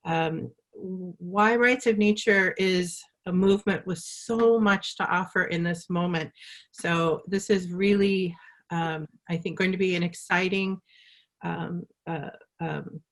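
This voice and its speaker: Woman moderating an online panel, lowish voice, lots of ums and restarts. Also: fairly bright tone. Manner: halting, many fillers and restarts. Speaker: webinar host. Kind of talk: live webinar moderation.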